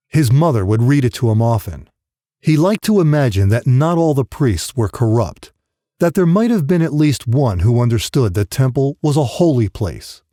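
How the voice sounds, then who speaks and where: A male audiobook narrator reads grim fiction in a dark, mellow voice of middling pitch. His intonation is lively and varied across the scripted prose. The recording is dry, with no room sound. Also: no echo.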